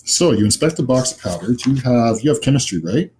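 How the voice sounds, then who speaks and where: A man's voice: mid-range voice, animated, quick pace, warm tone. A man narrating as game master of a tabletop horror roleplaying game, describing scenes in a conversational, improvised way. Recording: music behind the voice. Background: music.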